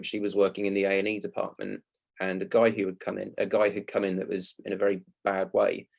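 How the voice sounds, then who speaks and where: Casting: male presenter, lowish gravelly voice, explaining a play to listeners in a prepared talk. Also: darkish tone.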